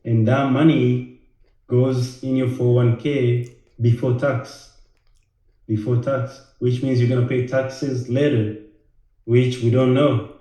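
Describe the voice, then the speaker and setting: A man from Rwanda, a financial educator, talking casually to the camera, halting with many fillers and repeated words. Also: medium pitch, level tone, warm tone. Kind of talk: casual direct-to-camera talk. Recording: very echoey room.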